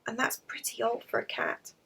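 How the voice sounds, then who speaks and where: A woman's voice: normal speed, bright, forceful tone, far from the mic, natural voice. A woman voicing an earnest, downcast schoolgirl in a children's storybook. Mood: tearful.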